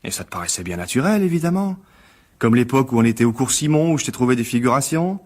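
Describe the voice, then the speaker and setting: An actor playing a bitter, sarcastic failed actor turned critic in a French radio drama, needling with false cheer. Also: male voice, medium pitch, animated, fast talker, darkish tone, lightly acted. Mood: resentful.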